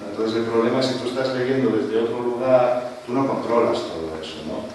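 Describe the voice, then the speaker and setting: A man's voice: medium pitch, brisk, darkish tone, a little hiss or hum. A man from Spain whose first language is not Spanish, speaking at an academic panel in a reflective way, often asking the audience questions. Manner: reflective, asks the audience questions, frequent tag "¿no?". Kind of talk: conversational academic talk. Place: large auditorium. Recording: echoey hall from far off.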